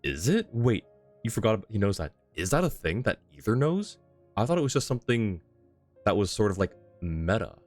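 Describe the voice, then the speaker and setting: A man with a low-pitched voice gives casual livestream commentary on a game. He muses aloud and reasons things through with viewers, over faint music. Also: very animated, brisk, no echo. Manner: musing, thinking aloud, analytical. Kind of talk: casual live-stream commentary, chatting with viewers.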